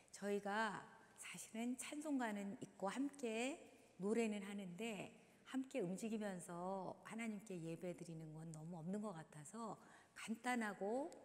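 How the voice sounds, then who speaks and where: Hoarse woman, church movement leader, slow instructive delivery, long hall reverb. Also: mid-range voice. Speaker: dance leader in a church service. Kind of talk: guiding a congregation through movement. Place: large church sanctuary.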